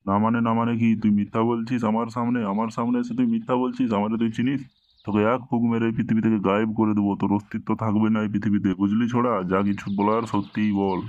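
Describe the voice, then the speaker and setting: A dry, muffled cartoon recording of a man acting as a self-important village holy man. He scolds and preaches in a low voice, rattling along with little melody. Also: level tone, fast, darkish tone, dry recording, close to an everyday voice. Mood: scolding, threatening. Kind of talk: comedy cartoon dialogue. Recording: dull lo-fi, very dry cartoon dub.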